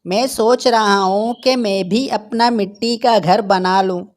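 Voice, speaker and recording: A loyal, protective male pigeon from a children's cartoon, played by a woman. He talks very slowly, as a helpful friend in a moral tale. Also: mid-range voice, fairly even intonation, a little mellow, close to an everyday voice. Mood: friendly. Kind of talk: Hindi moral-story cartoon dubbing.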